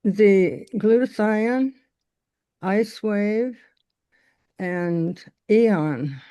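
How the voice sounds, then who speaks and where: A woman calling in to a webinar, speaking slowly and stopping to clear her throat. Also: mid-range voice, mellow, dry recording. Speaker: caller sharing a testimonial. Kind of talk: casual testimony over a call.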